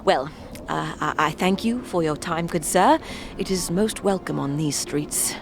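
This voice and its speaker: An actor playing a timid, lost gentleman who is secretly a conman, stammering in florid, polite phrases for a fantasy audio drama. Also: fairly high pitch, unhurried, vocal fry, slight background noise. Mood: flustered, wary.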